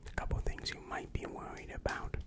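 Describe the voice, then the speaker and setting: A young man whispering an ASMR roleplay as a helpful, polite demon counselor, soft, hesitant and reassuring, close on the microphone. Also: fast talker. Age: young adult. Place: small dim room indoors. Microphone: large microphone right in front of the mouth.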